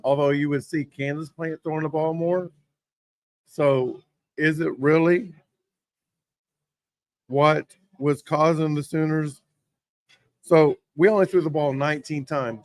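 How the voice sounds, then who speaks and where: Hoarse man ranting about football. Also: on the high side, no room sound. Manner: rambling, gets worked up over play calls. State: hoarse from yelling. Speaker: host. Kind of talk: casual sports talk. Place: sports bar.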